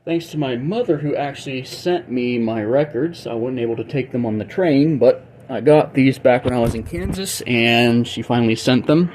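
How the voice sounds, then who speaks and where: A man's voice: mid-range voice, normal speed, few pauses, darkish tone, slight background noise, rough recording. A young American man chatting casually and thinking aloud as he demonstrates something, in a rambling way. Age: young adult. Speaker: vlogger, record collector. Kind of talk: casual chat while demonstrating. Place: small bedroom, indoors.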